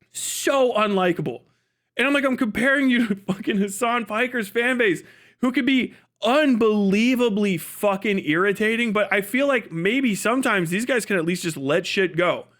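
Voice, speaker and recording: An American man with a notably high voice delivers an off-the-cuff livestream rant. He is blunt and sarcastic, swears freely, and hammers his points with emphatic phrasing and mock dialogue. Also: normal speed, a bit bright. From United States. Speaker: livestream commentator.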